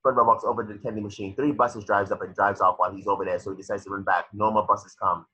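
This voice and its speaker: A man's voice: fairly low pitch, steady tone, very fast, bright, forceful tone, breathy edge, dull audio. A man narrating a cartoon script's stage directions in a matter-of-fact way, sometimes stumbling over the words. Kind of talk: reading a cartoon script aloud.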